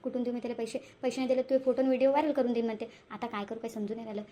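A woman's voice: on the high side, steady tone, rapid-fire, dark tone, a little hiss or hum, lightly acted. A woman voicing a scheming, wheedling young cartoon character who repeats herself, speaking Marathi with a Varhadi accent from Vidarbha. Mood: worried scheming. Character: scheming young woman sweet-talking for money. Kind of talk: amateur cartoon voice-over.

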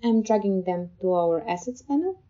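A woman hosting a casual step-by-step software tutorial, explaining informally and a little hesitantly. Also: mid-range voice, animated, normal speed. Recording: slightly dull, lo-fi voice-over.

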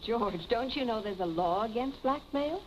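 An actress playing a composed, wary young wealthy wife, direct in manner, in a 1940s film. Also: female voice, fairly high pitch, expressive, normal speed, dull audio, lightly acted. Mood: brisk. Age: young adult. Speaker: film actor. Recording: noisy vintage film soundtrack.

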